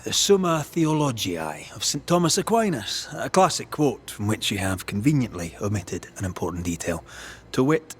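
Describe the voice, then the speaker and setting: An actor playing a shrewd ghost hunter in a dramatic video game, confronting authority with deliberate, eloquent, accusing speech. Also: male voice, mid-range voice, a bit bright, slight background noise. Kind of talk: dramatic game storytelling and interrogation.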